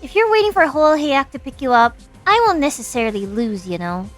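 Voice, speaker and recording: A woman playing a sassy, anxious young lab director in an expressive, flippant fan-dub style. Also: high-pitched, brisk, faint music behind. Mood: sarcastic, frustrated. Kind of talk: fan-dub game drama acting.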